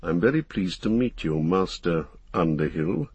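A weathered, watchful wanderer of fantasy storybooks, played by a male reader. He speaks low and measured, stern with a wry undertone. The voice is husky and recorded close and dry. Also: expressive, a little mellow, dry recording, exaggerated voice. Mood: guarded, wry warning.

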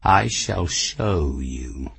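Man voicing a proud, low-voiced warrior cat prince. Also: animated, very slow, vocal fry, a bit bright, slightly rough, no room sound, soft background music, exaggerated voice. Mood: quiet menace. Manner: formal, oratorical, menacing. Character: proud, fierce male warrior cat prince, coldly defiant. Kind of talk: fantasy audiobook character voice.

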